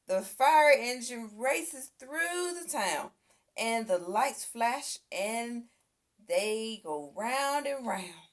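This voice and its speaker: A woman reading a children's picture book aloud as an expressive storyteller. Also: a little high, extremely slow. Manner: expressive, acts out sound effects and exclamations. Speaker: storybook reader.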